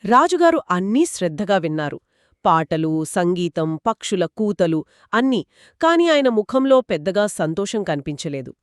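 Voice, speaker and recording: A woman co-narrating a children's story in a conversational, expressive and engaging style. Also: fairly low pitch, moderate pace, no echo, studio quality. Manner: expressive, engaging, acts out characters' quoted lines.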